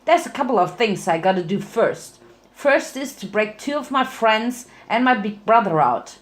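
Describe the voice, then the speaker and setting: A woman's voice: on the high side, animated, normal speed, natural voice. A woman voicing a plain-spoken, practical young female farm pony with folksy phrasing. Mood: matter-of-fact.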